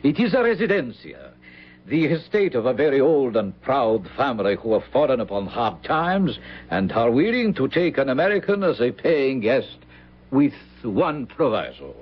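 An actor playing a genial, insistent doctor in a radio drama, a husky voice and courtly phrasing. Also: male voice, medium pitch, sing-song, normal speed. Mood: firm, persuasive. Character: genial, insistent Spanish doctor, mature man. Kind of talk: old-time radio drama acting. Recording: dull lo-fi radio drama recording.

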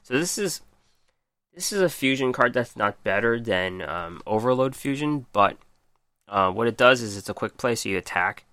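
Hoarse man reviewing cards. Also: lowish voice, bright, forceful tone, dry recording. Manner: reads card stats then comments, many ums. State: losing his voice. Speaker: podcast host. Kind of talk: casual card game review.

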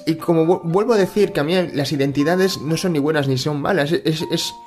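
A man's voice: a little high, fairly quick, darkish tone, faint music behind. A middle-aged Spanish man from Catalonia speaks in a thoughtful, hesitant monologue with many fillers and restarts, as host of his personal finance channel. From Spain (Catalonia). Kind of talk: reflective casual monologue.

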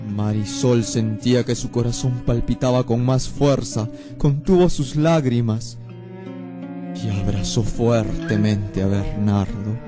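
A man's voice: mid-range voice, slow pace, music in the background. A man narrating a romantic radio drama from a script.

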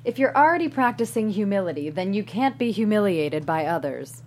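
A woman's voice: mid-range voice, big pitch swings, a little fast, darkish tone, slight background noise, lightly acted. Actress as frank, supportive woman friend. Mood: frank, consoling. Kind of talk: script table read.

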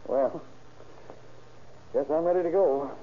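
A man's voice: on the high side, slow pace. An actor playing a wry, colloquial undercover man in an old radio drama. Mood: wry, uneasy. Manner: wisecracking, colloquial. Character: wry, breezy male undercover private secretary. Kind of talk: 1930s radio drama acting. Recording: tinny, hissy old radio recording.

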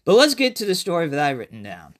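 A man hosting a horror podcast alone, talking casually and haltingly, often repeating and restarting his phrases. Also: on the high side, huge pitch swings. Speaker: podcast host and amateur horror writer. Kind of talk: casual solo podcast talk.

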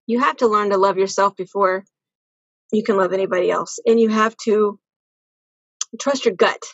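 A woman speaks as an interview guest in an earnest, conversational way, repeating words to stress her point. Her voice sits at a middle pitch, recorded very dry but slightly dull and muffled. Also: steady tone, moderate pace, a bit bright, husky, no echo. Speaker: podcast guest.

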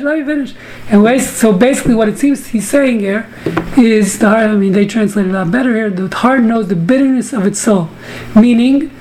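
A woman's voice: fairly high pitch, mellow, slight background noise, rough recording. A woman from the US teaching a Talmud class over a video call, joking and bantering with participants. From United States. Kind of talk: online study class.

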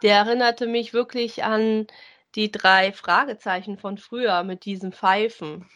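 A woman, co-hosting a relaxed, conversational review of audio dramas. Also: mid-range voice, unhurried, lo-fi sound. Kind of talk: casual review chat.

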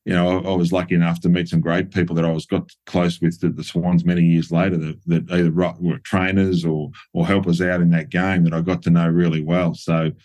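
A middle-aged Australian man from New South Wales, a former footballer, reminiscing in a relaxed interview with frequent ums and restarts. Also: deep voice, brisk, hardly any pauses. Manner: many fillers and restarts, chuckles at stories.

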